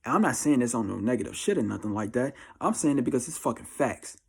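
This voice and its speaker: Young american guy, raspy n creaky voice, super slangy casual rant to his viewers, repeats himself a lot. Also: mid-range voice, lively intonation, fast talker. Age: twenty-four. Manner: slangy, blunt, emphatic, repeats phrases for effect. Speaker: vlogger giving life advice. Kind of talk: casual confessional monologue to camera.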